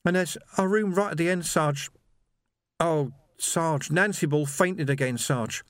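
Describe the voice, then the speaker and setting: Audiobook role, male reader acting: naive young male constable, high trembling voice, distraught, halting delivery. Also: no echo, studio quality, natural voice. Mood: shaken distress. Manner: shaky, halting, repeating himself. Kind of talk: audiobook character voice.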